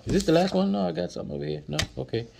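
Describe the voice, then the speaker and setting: A man's voice: fairly high pitch, rapid-fire, vocal fry, warm tone, slight background noise. A man, chatting through flashcards as he teaches words, repeating each one and joking with viewers. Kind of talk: casual flashcard lesson. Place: indoors at a table, off camera.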